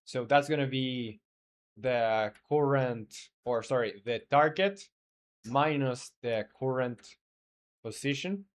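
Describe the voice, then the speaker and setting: Male game-dev streamer, Spanish first language, mid pitch. Casual, halting think-aloud delivery with lots of pauses. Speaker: game developer streaming live. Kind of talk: casual live-stream chat and coding talk.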